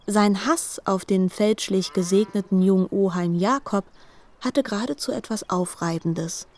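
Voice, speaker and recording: A woman's voice: mid-range voice, measured pace, darkish tone. A woman narrating a literary radio drama as an articulate storyteller.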